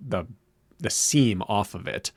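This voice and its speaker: Low-voiced guy cohost, laid back and wry, fumbles for words now and then, dry mic. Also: slow, dry recording. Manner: relaxed, wry, searching for words. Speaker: podcast co-host. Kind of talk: casual chat.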